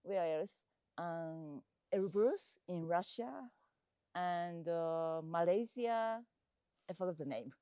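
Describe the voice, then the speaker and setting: A woman answering interview questions on a trek in halting English, pausing often to search for words. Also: lowish voice, dark tone, smooth, dry recording. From Japan. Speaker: trekker and mountain climber. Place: outdoors on a mountain trek.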